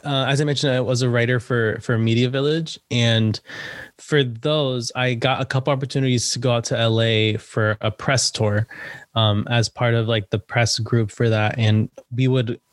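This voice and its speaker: A man's voice: medium pitch, fairly even intonation, normal speed, dry recording. Chatty young man with a dry sound. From United States, Salvadoran family. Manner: chatty, laughs often, many fillers. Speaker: podcast guest, actor and writer. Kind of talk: casual interview chat.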